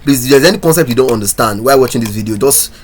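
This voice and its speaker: A man's voice: mid-range voice, rapid-fire, a little hiss or hum. A man teaching a coding lesson, talking through his code step by step and asking viewers questions. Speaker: programming instructor. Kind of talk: screen-recorded coding tutorial.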